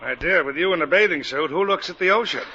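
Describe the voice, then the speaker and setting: A man's voice: on the high side, quick pace, natural voice. An actor playing an older, indulgent male guardian who fawns over his young ward in a 1940s radio comedy. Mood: doting. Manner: doting, fawning delivery. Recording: noisy lo-fi 1949 radio broadcast.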